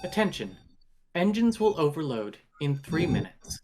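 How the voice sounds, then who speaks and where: Woman voicing ship computer, formal warnings, wide pitch swings, faint noise, sci-fi drama. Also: big pitch swings, unhurried. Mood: impassive warning. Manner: formal announcement delivery. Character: impassive female spaceship computer giving warnings. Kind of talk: dramatic table read. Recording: faint background noise, clicks.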